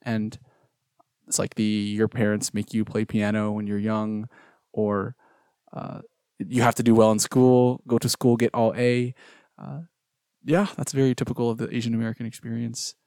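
A man's voice: on the low side, flat delivery, moderate pace, warm tone, clean tone, no echo, clean studio sound. A young man hosting a casual podcast, asking questions hesitantly with many restarts. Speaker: podcast host.

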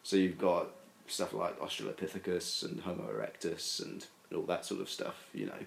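Man, very deep voice, rambling, hedging, lots of fillers, echoey room. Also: big pitch swings, moderate pace, gravelly, slight background noise. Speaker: podcast guest. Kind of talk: casual discussion.

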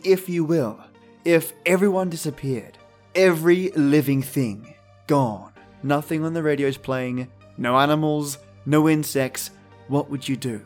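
Slow, higher-pitched man introducing a spooky show. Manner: theatrical, rhetorical, playful. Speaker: host of an old-time radio channel. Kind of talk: scripted spooky episode introduction.

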